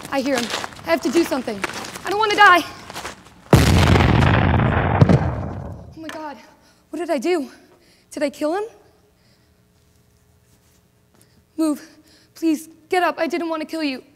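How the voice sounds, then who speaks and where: A woman plays a headstrong young woman who wants to fight alongside the men. Her voice sits high and insistent, with a defiant push. A long hall reverb hangs on every line. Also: noisy background. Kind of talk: amateur drama acting. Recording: echoey hall.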